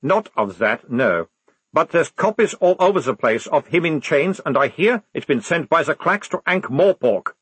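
A male narrator acts a captured army sergeant in a storybook, relaxed and mocking. He drawls with amused sarcasm. The voice is slightly rough and very expressive. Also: a little high, normal speed, dry recording, pitched above the performer's own voice, exaggerated voice. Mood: amused, scoffing. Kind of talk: audiobook character acting.

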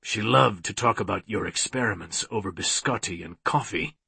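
A man voicing a cold, creepy male hybrid villain, a menacing and deliberate father figure in an audiobook. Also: on the low side, gravelly, bright, forceful tone, breathy edge, no echo, pitched below the performer's own voice, exaggerated voice. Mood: coldly taunting.

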